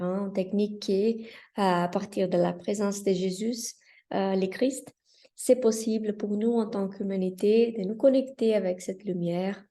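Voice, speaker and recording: A Brazilian woman with a Brazilian Portuguese accent, a Reiki teacher greeting viewers of her channel in a slow, earnest, softly hesitant way, speaking outdoors in the mountains. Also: mid-range voice. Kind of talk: spiritual welcome address to viewers.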